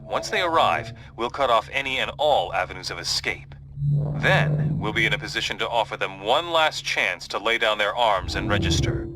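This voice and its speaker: A man playing a resolute superhero leader in a video game, giving a formal, measured briefing. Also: fairly low pitch, expressive, noisy background, lightly acted. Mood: grave, resolute.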